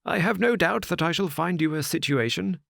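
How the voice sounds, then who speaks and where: A man doing a loud, high-pitched older squire. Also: brisk, creaky, dry recording, studio quality. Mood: hearty. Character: hearty older male country squire.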